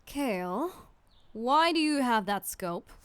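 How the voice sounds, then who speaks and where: A woman voicing an exasperated, sensible older-sister type, stern and sardonic but caring, in an animated comic dub with music behind her. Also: on the high side, takes it slowly, noisy background. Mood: wary.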